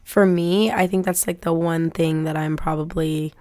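A college student in her twenties talking casually to her viewers with a hoarse, raspy voice that sounds like a smoker's, rambling with lots of "like.". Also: sits low, fairly even intonation, normal speed, darkish tone. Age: 20s. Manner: rambling, says "like" often. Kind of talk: casual talk to camera.

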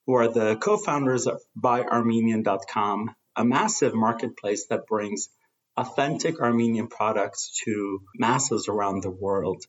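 A male radio host reading guest introductions and pledge notes in a measured, scripted voice-over. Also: lowish voice, lo-fi sound. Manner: measured, reads from a script with some fillers.

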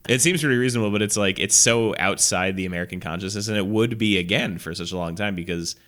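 A man with a cold and a very deep voice, chatting and joking as a podcast co-host. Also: quick pace, a bit bright. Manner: rambling, joking, laughs a lot. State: sick, sore throat. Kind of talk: casual chat.

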